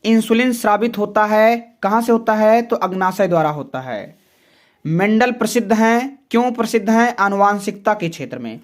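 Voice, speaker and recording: A man's voice: high voice, expressive, moderate pace. A man teaching a class, working through a science model exam paper with students in a chatty, repetitive way. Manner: chatty, calls students "friends", repeats words often. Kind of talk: exam paper walkthrough lesson.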